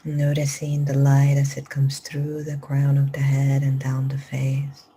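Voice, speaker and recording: A woman guides a meditation in a low, even voice, her slow phrases often sinking into a whisper. Her tone is mellow and unhurried. A faint hiss sits under the recording. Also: breathy edge. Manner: slow soft-spoken instructions, drifting into whispers. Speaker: meditation teacher. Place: live group session.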